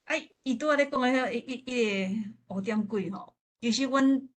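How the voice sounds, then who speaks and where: A woman's voice: a little high, a little fast. A woman from southern Taiwan with a southern Taiwanese accent, speaking casually and reminiscing as a participant in a forum discussion. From Pingtung, southern Taiwan.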